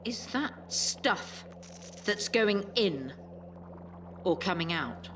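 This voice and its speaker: Elderly care home resident, headstrong conspiracy believer, mid-pitched, audio drama, noisy recording. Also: female voice, lively intonation, very slow, a bit bright. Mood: appalled. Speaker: voice actor.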